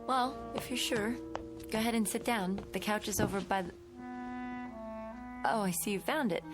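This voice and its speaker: An actress playing a kind, slightly uneasy schoolteacher in her twenties in a radio drama, talking in a gentle, coaxing way. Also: medium pitch, normal speed, lightly acted. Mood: friendly. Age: young adult. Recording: radio drama with prominent music underneath.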